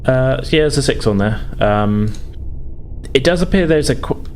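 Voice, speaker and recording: A man's voice: medium pitch, expressive, normal speed, a little mellow, a little hiss or hum. A man improvising narration as game master of a tabletop game, in a hedging, conversational, explanatory way.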